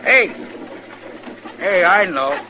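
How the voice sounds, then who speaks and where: An actor playing a pushy, menacing frontier rowdy with an insolent American drawl, in old radio western style. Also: male voice, high-pitched, lively intonation, drawn-out words, sharp tone, muffled recording, exaggerated voice. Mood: leering, insistent. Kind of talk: 1950s radio western acting. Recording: noisy old radio drama recording.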